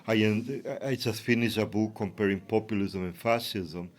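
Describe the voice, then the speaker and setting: A man's voice: on the low side, normal speed. A man from Ecuador, a native Spanish speaker with an Ecuadorian accent, giving reflective interview answers as an academic guest.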